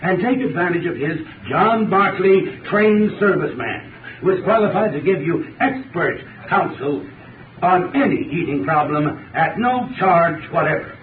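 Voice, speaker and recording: An actor playing a courteous heating expert, giving an earnest, formal scripted sales talk on 1930s radio. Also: male voice, high-pitched, expressive, a little slow. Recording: distant, echoey, tinny, noisy 1930s radio recording.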